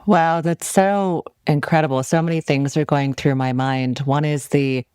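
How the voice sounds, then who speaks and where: Woman podcast host, gushing and emphatic, smooth clear voice, dry close sound. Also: low-pitched, fairly even intonation, dry recording. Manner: gushing, warm compliments, slow emphatic questions. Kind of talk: effusive interview hosting.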